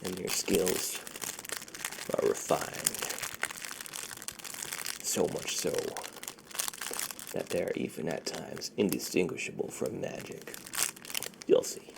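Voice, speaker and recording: Very deep, creaky, hushed ASMR dealer man acting. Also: noisy background, exaggerated voice. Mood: hushed, reassuring. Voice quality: breathy whispered, barely voiced. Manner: slow, hushed, conversational. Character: friendly underground ASMR dealer, secretive and soothing man. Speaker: ASMR roleplay performer. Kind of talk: soft-spoken ASMR roleplay.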